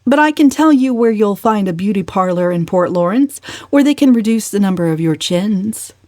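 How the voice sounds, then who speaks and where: A woman voicing a defiant, witty woman of twenty-nine with a calm, sardonic delivery in a storybook reading. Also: medium pitch, dry recording, lightly acted. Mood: dry defiance. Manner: calm, dryly sardonic, deliberate. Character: defiant, witty, unflappable 29-year-old unmarried woman.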